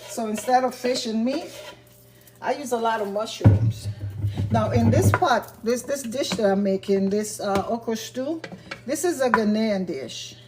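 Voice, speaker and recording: A woman with a slightly raspy voice talks through a recipe in her home kitchen. She is chatty and explains each step as she cooks. Frying food sizzles clearly behind her. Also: on the high side, a little slow, very noisy. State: slightly raspy from talking a lot. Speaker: vegan cooking channel host. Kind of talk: casual cooking demonstration. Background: frying and sizzling sounds.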